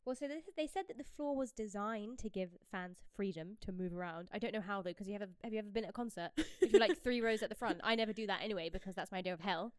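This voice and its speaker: A woman's voice: mid-range voice, quick pace, hardly any pauses, darkish tone, smooth, dry recording. A British woman co-hosting a podcast in casual, gossipy chat about pop culture, swearing casually. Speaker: podcast co-host.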